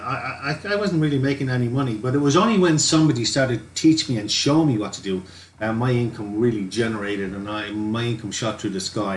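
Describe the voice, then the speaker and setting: A middle-aged man, co-hosting a webinar as a business coach, upbeat and rambling with lots of fillers. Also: mid-range voice. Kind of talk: casual sales pitch. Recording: echoey room, faint noise.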